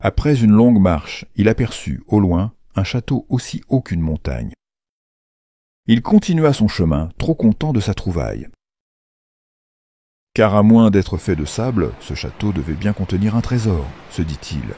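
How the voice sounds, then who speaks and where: A man narrating a children's fairy tale from a script in an expressive, playful storytelling manner. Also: on the low side, unhurried, husky, dry recording, clean studio sound.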